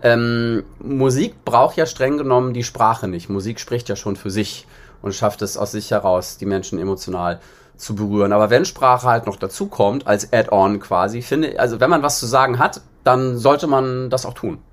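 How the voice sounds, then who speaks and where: A man from northern Germany speaks as the guest in an interview. His voice sits at a middle pitch. He talks conversationally, explaining his thoughts in a reflective manner. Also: normal speed. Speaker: interview guest, singer-songwriter.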